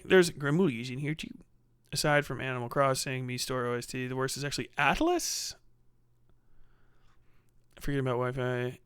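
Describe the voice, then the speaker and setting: Clear, higher-voiced man doing blunt livestream commentary. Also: a bit bright, dry recording, clean studio sound. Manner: blunt, heavy swearing. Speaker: livestream host. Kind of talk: casual livestream reaction commentary.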